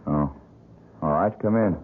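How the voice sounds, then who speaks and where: An actor playing a proud, bitter, down-and-out young painter in a 1970s radio drama, speaking curtly. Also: male voice, sits low, measured pace, husky. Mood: suspicious. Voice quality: bitter, curt. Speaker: struggling painter. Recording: tinny lo-fi old radio broadcast.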